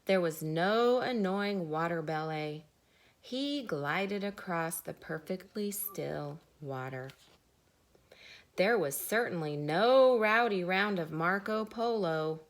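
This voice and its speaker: A woman, a teacher, reading a children's story aloud with deliberate dramatic pauses. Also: on the low side.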